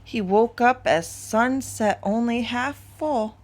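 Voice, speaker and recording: Slow-paced woman reading a storybook. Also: a little high, a little mellow. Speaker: storybook reader. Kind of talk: expressive children's read-aloud narration.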